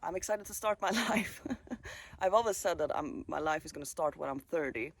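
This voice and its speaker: A man in his late twenties, originally from Sweden, speaks to his phone camera at a countryside caravan site. His voice sits a little high for a man, and he talks in a laid-back, wandering way, pausing and filling gaps with small hesitations. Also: vocal fry. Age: late 20s. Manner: relaxed, musing, with fillers and pauses. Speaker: travel vlogger. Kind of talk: casual vlog monologue.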